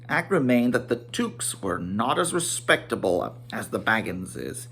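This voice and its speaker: Slow, theatrical male storybook reader. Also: mid-range voice, sing-song, vocal fry, a bit bright. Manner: theatrical, emphatic, with occasional stumbles. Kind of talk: dramatic read-aloud of a children's fantasy novel.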